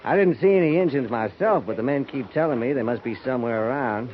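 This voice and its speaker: A man's voice: mid-range voice, quick pace, lightly acted. An actor playing a falsely humble cavalry trooper who needles his captain in a folksy drawl, in 1950s radio drama style. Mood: sly. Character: wheedling, needling old army acquaintance. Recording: muffled 1950s radio broadcast, faint hiss.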